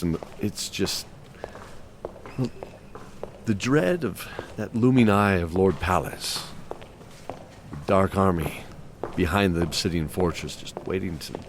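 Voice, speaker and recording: A male actor voices a courteous keeper of a fantasy castle in an audio drama. His voice is deep, genteel and a little anxious, confiding in his guests against faint background noise. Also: exaggerated voice. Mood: worried, hesitant. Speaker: host. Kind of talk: in-character scripted announcement.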